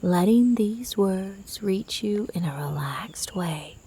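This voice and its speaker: Slow, breathy half-whispering woman. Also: mid-range voice, animated. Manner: soothing, gentle, unhurried. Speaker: meditation guide. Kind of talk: guided sleep meditation narration.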